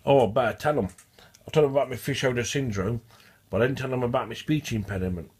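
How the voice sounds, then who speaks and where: A man's voice: medium pitch, quick pace, darkish tone, husky. A man with a stutter, talking in a rambling, repetitive vlog monologue. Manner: repetitive, restarts and repeats phrases. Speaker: vlogger. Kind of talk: casual rambling monologue to camera.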